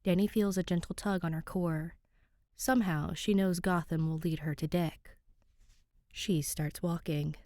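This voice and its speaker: A woman's voice: on the low side, steady tone, a little slow, warm tone, no echo, studio quality. A woman reading a story aloud as a scripted fiction narrator. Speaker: podfic narrator.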